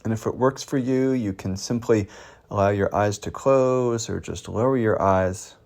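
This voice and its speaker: A man teaches mindfulness through a guided meditation. His pitch is a little low, and he offers gentle prompts and questions, leaving pauses between them.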